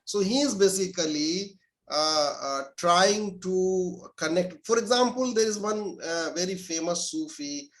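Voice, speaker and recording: A man, an Urdu speaker, answering interview questions as a scholar and pausing often with fillers and restarts. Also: high voice, fairly bright tone. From India. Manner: hesitant, many filler sounds and restarts.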